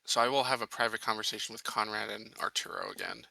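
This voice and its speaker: A man playing a tabletop roleplaying game, laying out plans casually in a deliberate, halting way. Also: fairly low pitch, wildly swooping pitch, gravelly, sharp tone, no echo, low-quality recording. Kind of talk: casual in-character planning talk.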